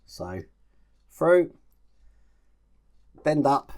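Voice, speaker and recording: A man's voice: a little high, very animated, very slow, a little mellow. A man chatting casually through a step-by-step build tutorial, explaining each move as he works. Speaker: host of a model-building channel.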